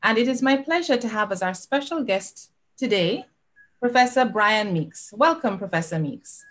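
A woman's voice: mid-range voice, animated, moderate pace, fairly bright tone. A middle-aged woman hosting an online alumni interview, formally reading the guest's introduction over a video call. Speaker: host. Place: home room. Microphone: video call webcam.

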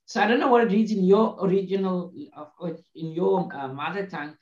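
A man's voice: sits high, fairly quick, darkish tone. Afrikaans-speaking man on a roomy call. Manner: hesitant, many restarts, gestures while talking. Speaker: guest. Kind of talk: casual video-call discussion. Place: small room, indoors. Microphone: webcam on video call. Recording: roomy, dull video-call audio.

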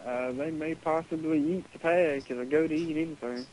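A man's voice: on the high side, fairly even intonation, moderate pace, darkish tone. A man working as a pet store employee, answering matter-of-factly and a little hesitantly over the telephone. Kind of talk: customer service phone conversation. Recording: hissy, noisy telephone line.

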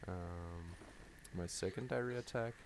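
A man from Denmark, a native Danish speaker, giving tired, halting live commentary on his game play with many ums and restarts. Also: low voice, creaky. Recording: loud background noise over the voice.

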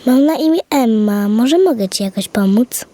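Woman as a high, soft, curious little girl. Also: expressive, moderate pace, dry recording, natural voice. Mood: friendly, curious. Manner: eager, wondering, polite. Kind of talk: children's audio fairy tale acting.

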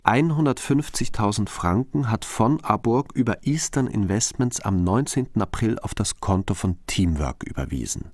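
An actor playing an analytical investigator in a radio crime drama, setting out facts in a pointed, matter-of-fact way. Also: male voice, fairly low pitch, expressive, normal speed, hardly any pauses, a little mellow, no echo. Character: analytical male investigator reasoning through case facts.

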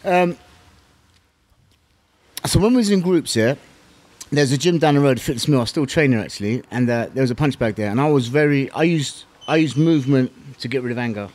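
Southern English male interviewee, middle-aged. Very quick delivery, street slang and swearing, animated anecdote style. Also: medium pitch. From England. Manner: animated, slangy, sweary, acting out remembered exchanges. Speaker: interview guest, former kickboxing champion. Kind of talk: casual storytelling interview.

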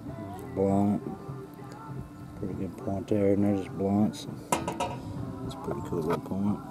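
A man's voice: sits low, steady tone, slow. A plainspoken, folksy man musing in short phrases as he casually shows his finds to a handheld camera. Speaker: artifact hunter filming his finds. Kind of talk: casual first-person show-and-tell commentary. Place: outdoors, handheld camera close to the ground. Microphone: handheld camera mic. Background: radio music playing in the background.

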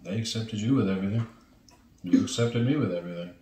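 A man in his forties, co-hosting with his wife, making dry, low-key teasing remarks. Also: quick pace, mellow. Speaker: co-host, husband. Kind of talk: casual chat. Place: living room, indoors. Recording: roomy, distant, noisy.

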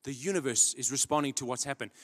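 A young man preaching a sermon on a church stage into a handheld mic, dramatic, swinging between loud emphatic peaks and low quiet drops. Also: fast talker. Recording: long church hall reverb.

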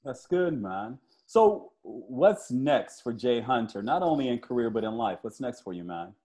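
A man's voice: medium pitch, very animated, measured pace, mellow. A middle-aged American man casually interviewing someone over a video call from an indoor room. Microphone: wired earphones on a video call.

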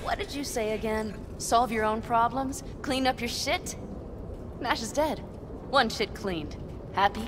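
A woman's voice: a little high, a little slow, natural voice. A woman playing a headstrong, vengeful young nomad in a video game, speaking bluntly and insistently. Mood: defiant, taunting. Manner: blunt, insistent, foul-mouthed. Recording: loud vehicle noise and game ambience.

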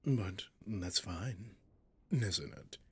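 A man playing a possessive, mocking male demon in an ASMR roleplay, seductive and sinister, with a breathy, often whispering, teasing drawl. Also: low-pitched, drawn-out words, a bit bright, no echo, heavily acted. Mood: taunting.

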